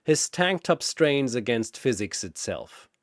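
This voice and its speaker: A male narrator delivers scripted story narration with theatrical drama. His pitch swings widely, the pace is very deliberate, and the sound is dry and close. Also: sing-song, no echo.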